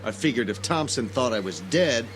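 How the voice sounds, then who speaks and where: An actor in an audio drama playing an idealistic, defensive researcher on the run. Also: male voice, medium pitch, expressive, brisk, noisy background, close to an everyday voice. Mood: guarded, defensive. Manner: earnest, defensive. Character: defensive, idealistic fugitive male lab researcher. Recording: music under the voice.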